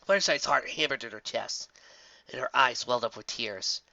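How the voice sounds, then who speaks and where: A man's voice: mid-range voice, normal speed, creaky, sharp tone, dry recording, muffled recording. A man narrating a fantasy story dramatically, as a reader of fan fiction.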